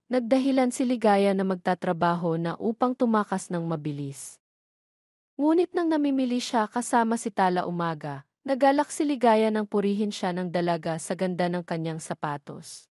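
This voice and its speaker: A woman's voice: medium pitch, lively intonation, moderate pace, darkish tone, dry recording, studio quality. A woman reading scripted narration for an audio drama love story.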